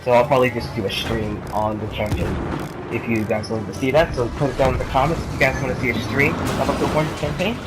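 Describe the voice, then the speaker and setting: Young man with a cold, rambling casual commentary, middle pitch, clear game noise behind. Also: steady tone, quick pace. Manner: rambling, many fillers and restarts. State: has a cold. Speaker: gaming YouTuber.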